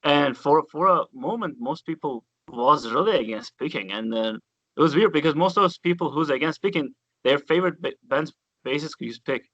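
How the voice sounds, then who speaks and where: Enthusiastic Turkish-accented man, bright voice. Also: fairly high pitch, expressive, normal speed, no room sound, muffled recording. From Turkey. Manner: enthusiastic storyteller, loose grammar, swears casually. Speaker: guest bassist. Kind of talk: casual interview chat.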